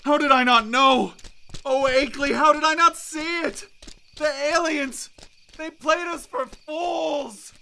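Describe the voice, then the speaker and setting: A man's voice: very high voice, fairly even intonation, slow, creaky, sharp tone, full character voice. An actor playing a stubborn, frightened male scholar in a radio drama, agitated and incredulous. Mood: horrified, frantic. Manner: agitated, exclamatory.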